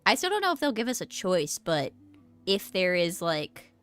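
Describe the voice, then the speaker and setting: A woman's voice: fairly low pitch, sing-song, moderate pace, a bit bright, clear, resonant tone. A woman live-streaming a game with music playing behind her. She talks casually in a rambling, self-interrupting way and is easily amused. She sounds a bit under the weather with a cold. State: sick with a cold. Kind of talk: casual live game stream commentary, reading dialogue aloud.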